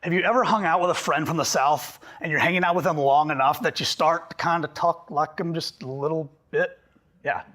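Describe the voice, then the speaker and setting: A young man from Pennsylvania giving an interactive workshop to a live audience in a meeting room. He talks quickly and animatedly, with a self-deprecating, chatty manner and a voice that is a little hoarse. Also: on the high side, a bit bright. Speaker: workshop presenter. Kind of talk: interactive training talk.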